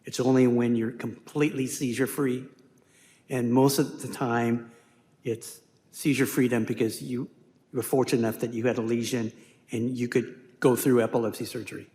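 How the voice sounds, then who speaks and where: A man who is a physician on an expert panel, answering audience questions in a measured, explanatory way. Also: medium pitch, flat delivery, dark tone. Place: conference hall. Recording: echoey hall reverb.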